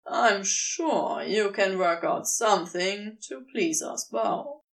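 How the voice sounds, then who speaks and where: A mischievous giant serpent, male and self-assured, as a woman narrator plays him. He speaks slowly and teasingly, with a languid storybook delivery that swings in pitch. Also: animated, distant mic, poor audio. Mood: reassuring, teasing.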